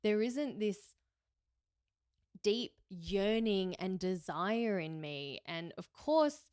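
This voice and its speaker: A woman's voice: medium pitch, expressive, drawn-out words, darkish tone, clean tone, dry recording, studio quality. A woman, hosting a podcast and talking through her own life in a candid, reflective way with fillers and self-corrections. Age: 30s. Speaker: podcast host. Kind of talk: reflective solo podcast talk.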